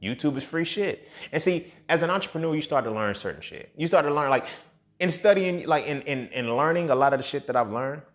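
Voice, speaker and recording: Animated, blunt thirty-year-old man. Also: a little high, fairly quick. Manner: blunt, heavily profane, slangy; emphatic, with rhetorical questions and check-ins. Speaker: podcast host and entrepreneur. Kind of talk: casual, unscripted solo podcast monologue.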